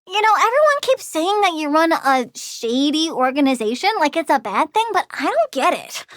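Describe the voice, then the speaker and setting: Game villain: snarky, cutesy young plant girl, lively and high-pitched, dry close sound, playful menace. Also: no echo, full character voice. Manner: childish, bratty, cutesy delivery. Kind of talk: video game character voice lines.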